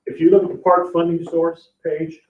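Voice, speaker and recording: A man speaking as an official at a public meeting, explaining finances in a halting, plain-spoken way and often checking that listeners follow. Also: a little high, flat delivery, a little hiss or hum. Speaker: parks board official presenting the budget. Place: indoor public board meeting room. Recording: echoey meeting room, distant dull mic.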